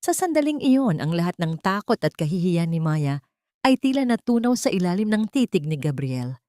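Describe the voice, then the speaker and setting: A woman reading a dramatic scripted story in a solemn, deliberate manner. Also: fairly low pitch, mellow, dry recording, clean studio sound.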